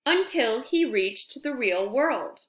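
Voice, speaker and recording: A woman reads a children's storybook aloud in an expressive, deliberate way. Her pitch swings widely and her pace is unhurried, with friendly asides to young listeners. The room adds a noticeable echo. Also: a little hiss or hum.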